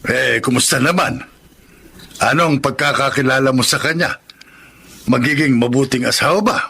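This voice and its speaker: A man's voice: medium pitch, normal speed, vocal fry, pushed and bright, breathy edge, heavily acted. A man with a Filipino accent playing a concerned, nagging older father in a radio drama, folksy and full of advice. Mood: concerned, probing. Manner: folksy, advising, questioning.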